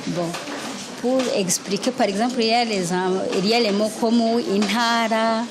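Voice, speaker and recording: A woman's voice: fairly high pitch, steady tone, moderate pace, a crowd in the background. A woman answering an interview spontaneously, hesitating and searching for words.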